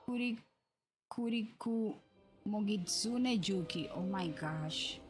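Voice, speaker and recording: A woman's voice: mid-range voice, slow pace. A woman streaming a video game and chatting casually as she plays. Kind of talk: casual livestream chat while playing. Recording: music under the voice.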